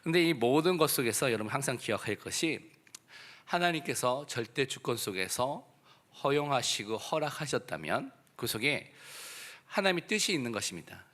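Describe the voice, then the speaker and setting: A man preaching a church sermon as pastor, exhorting emphatically in a voice hoarse from a throat cold. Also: medium pitch, big pitch swings, moderate pace, a bit bright. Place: church service.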